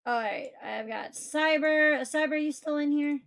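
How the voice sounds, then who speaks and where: A woman's voice: sits high, unhurried, creaky, fairly bright tone. A woman in her thirties chatting casually with friends while streaming a game, filling her talk with "like". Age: 30s. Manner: chatty, fills speech with "like". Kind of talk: casual chat while gaming.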